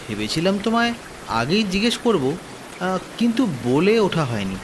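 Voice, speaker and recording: A man voicing a curious, friendly young villager in a horror cartoon, expressive. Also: high voice, normal speed. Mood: curious, amiable. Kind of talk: dramatized cartoon dialogue and narration. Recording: noisy rain ambience behind the voice.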